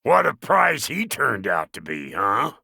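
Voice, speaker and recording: An actor playing a gruff, foul-mouthed dwarf blacksmith in a video game, with a raspy voice, folksy rustic slang and swaggering insults. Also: male voice, lowish voice, huge pitch swings, unhurried, bright, forceful tone, dry recording, clean studio sound, full character voice. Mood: sly, grumbling. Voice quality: gravelly, raspy. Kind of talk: video game character acting.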